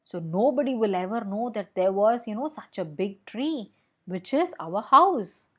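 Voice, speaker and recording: A woman's voice: mid-range voice, animated, a little slow, fairly bright tone, husky. A woman with an Indian accent voicing nervous, frightened tree animals in a children's storybook, exclaiming in dramatic alarm. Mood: nervous, dreading. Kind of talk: children's storybook read-aloud.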